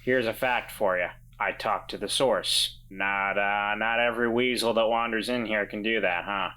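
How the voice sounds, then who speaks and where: A man playing a cocky, bright-voiced grifter. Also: on the low side, steady tone, normal speed. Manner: dry, flippant, bargaining. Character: Walter, cocky wry deal-making grifter.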